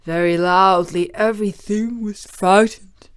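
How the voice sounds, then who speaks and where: A woman voicing a timid, tearful male lion in a storybook reading, her voice raised and louder than her narration. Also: mid-range voice, steady tone, a little slow, clean tone, natural voice. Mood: sad, plaintive. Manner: sorrowful, plaintive storybook voice.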